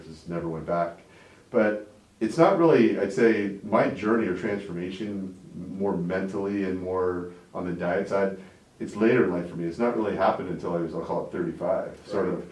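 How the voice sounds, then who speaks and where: A very deep-voiced man in his forties, from Canada, chatting loosely as an interview guest. He rambles and keeps checking in with "right?" and "like". He sounds set back from the mic in an echoey room. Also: fairly quick, a little mellow, recorded from a distance. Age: 40s. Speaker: interview guest, business owner. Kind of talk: casual interview talk.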